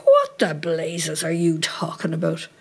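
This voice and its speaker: A woman's voice: low voice, huge pitch swings, unhurried, pitched below the performer's own voice, full character voice. A woman voicing a cantankerous old Irish woman in a story, indignant and scolding in an Irish accent.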